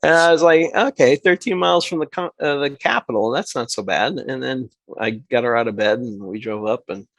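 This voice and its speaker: A man's voice: medium pitch, fairly quick, hardly any pauses, a bit bright, no echo. A man who makes mead, guest on a podcast, talking enthusiastically in long run-on stretches. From New Hampshire. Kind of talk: casual podcast interview.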